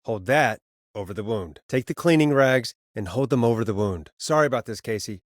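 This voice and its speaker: A man's voice: mid-range voice, lively intonation, fairly quick, no echo, studio quality, lightly acted. A man playing an earnest radio host in a video game, conversational and naturalistic, guiding people through emergencies. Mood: calm, urgent.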